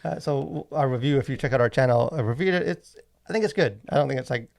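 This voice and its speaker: A man's voice: mid-range voice, fast talker, gravelly, a little mellow. A man hosting a podcast in a casual, rambling, enthusiastic way, leaning heavily on "like" as he talks. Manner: rambling, enthusiastic, heavy use of "like". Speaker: podcast host and movie reviewer.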